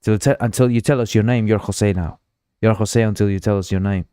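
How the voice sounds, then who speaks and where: Low-voiced Uruguayan man hosting a live stream. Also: fairly even intonation, a little fast, mellow, clear, resonant tone, no echo, clean studio sound. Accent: Uruguayan Spanish. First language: Spanish. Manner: rambling, repeats himself, reads chat aloud; drinking while streaming. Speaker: livestream host. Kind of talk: casual live coding stream chat.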